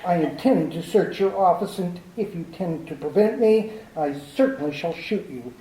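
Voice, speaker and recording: A woman playing a fussy, overly polite male gentleman crook in a radio play, talking in a prim, formal, hesitant way. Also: fairly low pitch, lively intonation, normal speed, reverberant, distant mic, natural voice, low-quality recording. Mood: threatening politely. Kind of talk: amateur 1940s-style radio drama acting.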